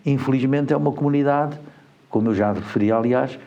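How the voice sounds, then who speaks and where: A man's voice: medium pitch, a little fast, dull audio. A man from Portugal with a European Portuguese accent, a guest in a studio interview, explaining at length with frequent hesitant fillers. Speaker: guest, education group administrator.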